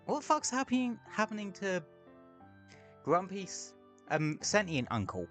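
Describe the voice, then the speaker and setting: A man's voice: sits high, big pitch swings, unhurried, fairly bright tone, faint music behind. A man streaming a game, talking casually in rambling, sweary asides at home. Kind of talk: casual gameplay commentary. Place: home room with window open.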